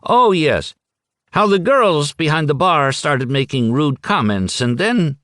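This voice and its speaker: A boastful, touchy old drunk, as a male reader puts him on in an audiobook. He talks high above the reader's own pitch, swinging wildly up and down. The sound is dry and close. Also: very animated, a little slow, a bit bright, no room sound. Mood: aggrieved. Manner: indignant, blustering storyteller.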